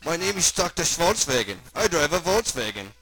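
Theatrical mad German scientist, raised voice. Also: male voice, fairly high pitch, very animated, moderate pace, fairly bright tone, no room sound, voice pushed up, low-quality recording. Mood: gleeful, excited. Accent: mock German. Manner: theatrical, over-enunciated, mixing in German words. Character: eccentric sinister German male mad scientist, gleeful, volatile.

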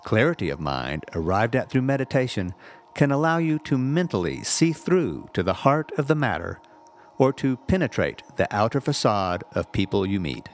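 A man reading a scripted spiritual text aloud in a measured, deliberate, instructive way. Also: on the low side, big pitch swings, a little hiss or hum. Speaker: audiobook-style reader, reverend.

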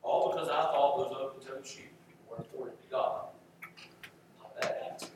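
A man's voice: mid-range voice, fairly even intonation, normal speed, a little mellow. A man preaching a church sermon in an emphatic, repetitive rhetorical cadence. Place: church sanctuary with audience. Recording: echoey room, distant mic, faint noise.

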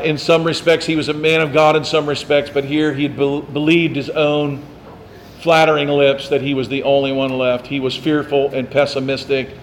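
A man's voice: fairly high pitch, a little hiss or hum. A man preaching a sermon as pastor, in a conversational way, asking the congregation questions and teasing them.

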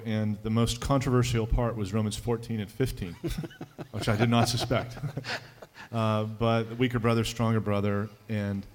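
A man, a pastor, answering a question on a conference panel in a thoughtful, measured way with some halting restarts. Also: medium pitch, a little mellow.

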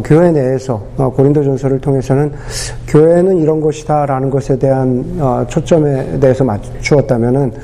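A man preaching a sermon as pastor, his voice hoarse from a cold, talking unhurriedly with many pauses and fillers. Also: on the high side, warm tone.

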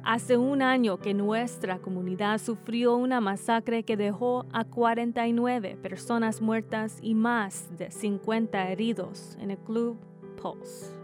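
A woman's voice: on the high side, steady tone, takes it slowly, darkish tone, faint music behind. A woman from Texas with a Tex-Mex accent, hosting a public radio interview in careful, scripted Spanish. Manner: scripted, careful, apologetic about her Spanish. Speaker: radio host. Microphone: studio microphone.

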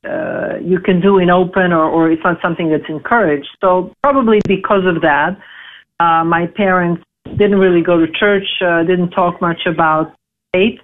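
A woman in her forties from Serbia, speaking English, telling her life story in an interview with halting, filler-heavy speech. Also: fairly low pitch, lively intonation. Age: 40s. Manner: halting, many fillers and restarts. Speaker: interview guest. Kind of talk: casual interview, personal story. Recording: tinny phone line.

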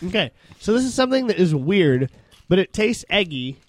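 A man's voice: sits high, sing-song, normal speed. A man with a cold and a slightly nasal voice, chatting and joking as a podcast co-host. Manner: joking, bantering. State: has a cold, a bit nasal. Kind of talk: casual chat.

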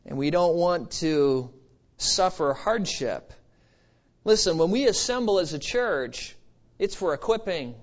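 A slightly muffled, lo-fi recording of a man preaching. His voice sits high for a man. He exhorts emphatically, mixing scripture teaching with conversational asides. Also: animated, unhurried. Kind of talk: expository sermon. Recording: slightly dull, lo-fi sermon recording.

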